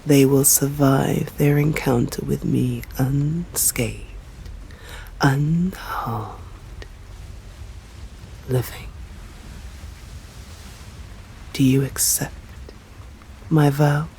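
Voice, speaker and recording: Slow, stern English vampire woman, acted out by a woman. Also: a bass voice, vocal fry, dark tone, a little hiss or hum, heavily acted, rough recording. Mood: solemn, indulgent. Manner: slow, formal, deliberate, often dropping into whispers. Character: stern, proud, hungry female vampire, intrigued by a human. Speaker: voice actor. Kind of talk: ASMR roleplay, scripted dramatic monologue.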